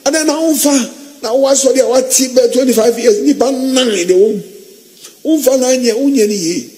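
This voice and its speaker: A middle-aged man from Ghana, a pastor, preaching impassioned to camera from an indoor office, swinging between hushed low warnings and loud high exclamations. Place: indoor office, seated in armchair.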